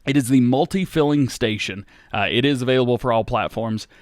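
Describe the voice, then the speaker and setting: An American man in his thirties, hosting a casual Q&A on his gaming channel, reading out viewers' questions and answering in a relaxed, rambling way. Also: mid-range voice, brisk, dry recording, clean studio sound. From United States. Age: 30s. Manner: relaxed and rambling, with many fillers and restarts. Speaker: YouTube gaming host.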